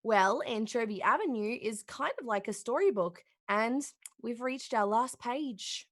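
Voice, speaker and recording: Kids' puppet show host actress: female, gentle, patient explainer, higher-pitched, dry sound. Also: lively intonation, measured pace, no echo. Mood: gentle, regretful. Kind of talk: children's television host acting, play reading.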